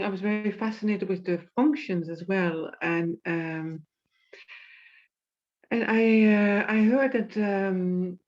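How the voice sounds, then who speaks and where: A woman's voice: lowish voice, darkish tone. A woman in an online study group, curious and a little hesitant with many "um"s, heard over a video call. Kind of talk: casual group discussion. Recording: dull lo-fi call audio.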